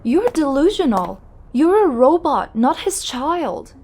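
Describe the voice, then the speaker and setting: A woman's voice: high voice, sing-song, takes it slowly, darkish tone, a little hiss or hum, heavily acted. A woman playing a defiant young woman confronting a villain in a comic dub. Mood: challenging.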